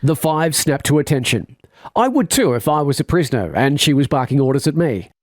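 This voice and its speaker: A man's voice: on the high side, big pitch swings, brisk, dry recording, clean studio sound. A man playing a wry, chatty narrator of a sci-fi comedy audio drama, tongue-in-cheek and conversational.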